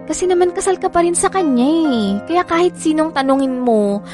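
A high, lively Filipino single mother. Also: brisk, over music. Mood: worried. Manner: playful, coaxing, conversational. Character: affectionate, anxious single mother in a secret affair. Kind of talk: Filipino radio drama acting.

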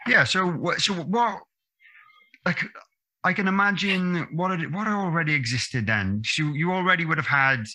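A man's voice: a little high, animated, bright, forceful tone. A man in his thirties hosting a podcast over a video call, chatty and upbeat. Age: 30s. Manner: upbeat, chatty, quick laughs. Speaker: podcast host. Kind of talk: friendly podcast interview. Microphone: webcam video call.

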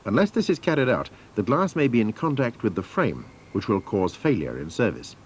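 A man's voice: fairly low pitch, big pitch swings, brisk. Man, instructional voice-over, very varied intonation, formal and methodical, faint noise of an old video soundtrack. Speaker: training film narrator. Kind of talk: scripted instructional narration.

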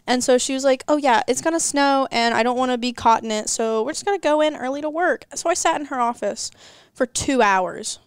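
A woman's voice: sits high, brisk, few pauses, clean tone, no echo, clean studio sound. A fifteen-year-old girl hosting a casual podcast with friends, laughing often and rambling. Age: teens. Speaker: podcast host. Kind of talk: casual chat.

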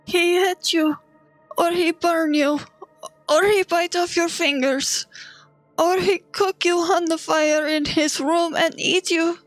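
A woman playing a frightened, traumatized child in a horror audio drama, speaking in halting, broken sentences. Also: very high voice, steady tone, no room sound, faint music behind, rough recording. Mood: distressed, tearful.

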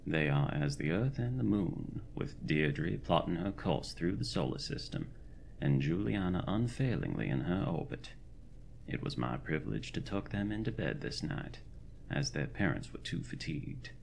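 A man playing a fussy, caring 1920s country doctor, reading his journal in formal, ornate, old-fashioned diction for a horror audio drama, with a deep, gravelly voice. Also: sing-song, moderate pace, a little hiss or hum, full character voice. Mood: reflective.

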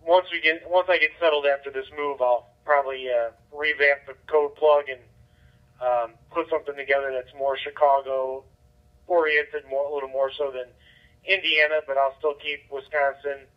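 A man's voice: fairly high pitch, steady tone, normal speed. A man chatting casually as an amateur radio operator over a digital two-way radio. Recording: muffled lo-fi digital radio transmission.

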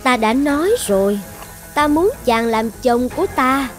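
A woman's voice: high-pitched, expressive, unhurried. A woman voicing a possessive young island sorceress in a cartoon fairy tale, coaxing and threatening with commanding self-assurance. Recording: music behind the voice.

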